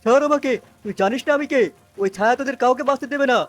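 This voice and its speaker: A man's voice: extremely high pitch, very fast, faint music behind. A man playing a wicked, menacing occult sorcerer in a cartoon story, with an imperious, theatrical, incantatory delivery. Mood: furious threatening. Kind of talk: animated cartoon story acting.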